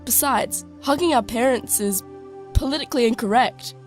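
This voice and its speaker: Cheeky teen girl, played by a woman, higher voice, sarcastic, teasing, worldly, audio drama, soft music behind. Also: normal speed, lightly acted. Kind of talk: scripted audio drama dialogue.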